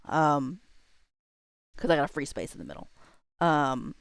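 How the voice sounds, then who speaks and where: Low, clear-voiced woman streamer chatting casually. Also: very animated, a little slow, no room sound, clean studio sound. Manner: informal, laid-back, slangy, muttering to self while working. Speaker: livestreamer hosting a chatbot roleplay stream. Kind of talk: casual livestream chat, thinking aloud to viewers.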